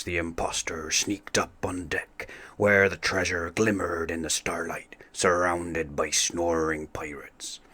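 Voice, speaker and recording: Male narrator for children's storybook: slow, deliberate pacing, crisp bright tone, close dry sound. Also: low-pitched. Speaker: storybook reader.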